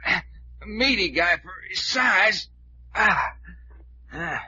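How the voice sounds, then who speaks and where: An actor playing a folksy, wisecracking Southern US sidekick with a colloquial drawl, in an old-time radio serial. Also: male voice, high voice, expressive, extremely slow, sharp tone, slightly rough, heavily acted. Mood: straining, joking. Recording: dull, noisy old radio recording.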